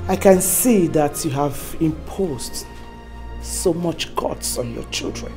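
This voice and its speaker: A taunting queen mother, slow and low, faint music behind. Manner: calm, taunting, proverb-quoting. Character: cool scheming royal mother defending her son.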